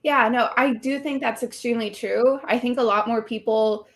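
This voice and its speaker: A woman's voice: on the high side, fairly even intonation, normal speed. A young woman from Puerto Rico, chatting as an interview guest and leaning on "like" as a filler. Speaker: guest, streamer. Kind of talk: casual interview chat.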